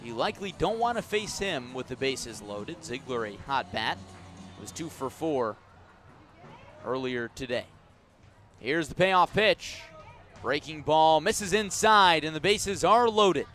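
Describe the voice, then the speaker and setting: An American man doing live radio play-by-play of a baseball game, measured between pitches and emphatic on the action. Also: lively intonation, a bit bright, soft background music. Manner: measured description rising into emphatic calls on the action. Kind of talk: live radio sports commentary. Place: outdoors at a ballpark.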